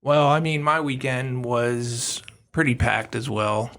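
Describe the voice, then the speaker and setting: A man's voice: mid-range voice, slow pace, a bit bright. A man co-hosting a podcast, talking in a laid-back way and swearing. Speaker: podcast co-host. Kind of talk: casual chat.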